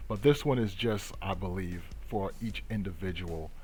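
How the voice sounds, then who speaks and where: Low-voiced man giving a halting tutorial. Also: darkish tone, a little hiss or hum. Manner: halting, repetitive delivery with many hesitations. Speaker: trading tutorial host. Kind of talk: unscripted explanatory screen tutorial. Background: music.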